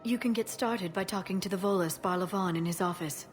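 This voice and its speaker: An actress playing a composed, pragmatic mission contact in a video game, with a calm, measured and wry delivery. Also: female voice, mid-range voice, soft background music, lightly acted.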